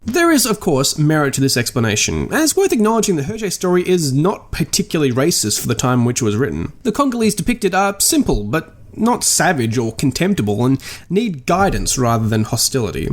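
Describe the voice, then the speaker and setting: Man narrating with lively, swooping intonation. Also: fairly high pitch, brisk. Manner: dry, wry understatement. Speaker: podcast host and narrator. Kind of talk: scripted video essay narration.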